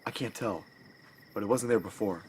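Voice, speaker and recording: A man's voice: lowish voice, moderate pace, a little mellow, a little hiss or hum, natural voice. An actor playing a loyal, worried male junior partner, casual and concerned, in naturalistic audio drama. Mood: uneasy.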